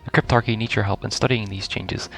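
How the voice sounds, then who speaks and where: A man's voice: on the low side, fairly even intonation, quick pace, gravelly, a bit bright. A man giving casual first-reaction gameplay commentary, informal and stumbling a little as he reads game text aloud. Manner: informal, stumbles over words when reading aloud. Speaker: gaming video creator.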